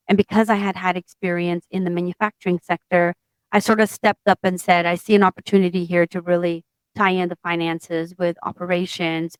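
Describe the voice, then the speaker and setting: A woman in her forties, a tech founder from Portugal, telling her story in an interview in a steady, matter-of-fact way. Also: fairly low pitch, clean tone, dry recording, low-quality recording. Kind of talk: interview conversation.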